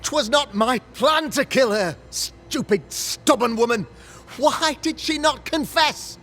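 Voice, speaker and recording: A man's voice: very high-pitched, takes it slowly, sharp tone, full character voice. An actor playing a self-righteous, zealous town governor and father in a period video game, speaking in theatrical, indignant oratory. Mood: defensive, indignant. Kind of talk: dramatic video game acting in period English.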